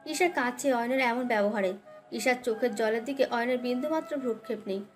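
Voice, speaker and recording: A woman's voice: a little high, fast talker, over music. A woman narrating a scripted dramatic love story as its storyteller.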